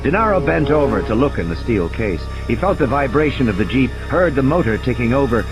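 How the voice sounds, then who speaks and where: A man's voice: mid-range voice, sing-song, a little fast. A man reading scripted, dramatic story narration as an audiobook narrator. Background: music and sound effects.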